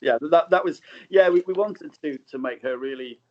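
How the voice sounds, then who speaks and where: A man's voice: fairly high pitch, normal speed. A man speaking as a guest film director in a casual interview, hesitant and often correcting himself, on slightly dull remote audio.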